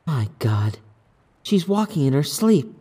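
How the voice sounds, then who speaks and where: A man voicing a frightened, protective mother in a hushed, tense manner for a horror audiobook. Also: fairly high pitch, sing-song, takes it slowly, slightly rough, full character voice. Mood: alarmed whisper. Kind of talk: audiobook character voice.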